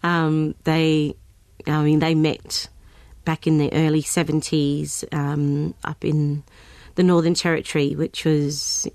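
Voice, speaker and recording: Low, dry-miked Australian woman guest; halting, filler-heavy chat. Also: little pitch movement, darkish tone, no echo. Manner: hesitant, with many ums and restarts. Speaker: guest singer. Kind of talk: casual interview chat.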